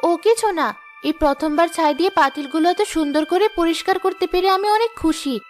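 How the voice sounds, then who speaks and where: A woman's voice: very high voice, fast. A woman playing a proud, naive and discontented young wife in a cartoon fairy tale, plaintive and petulant. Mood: proud, eager. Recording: music behind the voice.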